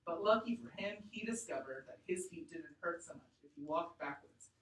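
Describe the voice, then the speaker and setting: Woman teacher in an echoey room. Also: fairly low pitch. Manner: deliberate, expressive, thinking aloud. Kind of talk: read-aloud lesson to a class. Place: classroom. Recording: echoey room, distant mic.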